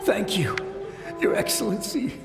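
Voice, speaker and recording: An actor voicing a fearful, devoted soldier's lingering memory in a video game, urgent and pleading. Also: male voice, high-pitched, huge pitch swings, measured pace, vocal fry, exaggerated voice. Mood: awed, grateful. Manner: urgent, pleading, breathless dread. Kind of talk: dramatic video game voice acting. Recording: echoing reverb with music behind.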